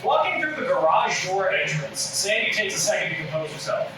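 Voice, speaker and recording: A man's voice: sits high, sing-song, brisk. A man reading out stage directions as scripted narration at a live table read. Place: live reading in an echoey room. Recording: echoey room, distant mic, background noise.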